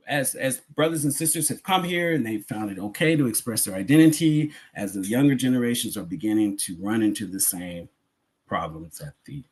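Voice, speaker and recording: Animated, husky-voiced American man preaching. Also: mid-range voice. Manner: emphatic, conversational, with repetition and rhetorical questions. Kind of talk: sermon-like lecture to a congregation.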